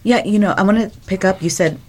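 A woman's voice: medium pitch, quick pace, darkish tone. A woman who hosts a radio show, chatting casually in conversation. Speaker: radio show host and teacher. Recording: very noisy background, clicks.

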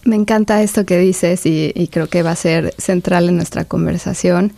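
Low gravelly woman interviewer. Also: steady tone, warm tone, dry recording. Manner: measured, hesitant, many restarts. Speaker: interview host. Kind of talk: conversational interview.

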